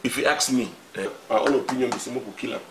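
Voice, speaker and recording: A man's voice: mid-range voice, quick pace, noisy background, close to an everyday voice. A man with a Nigerian accent playing a blunt, questioning church underling. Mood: wondering.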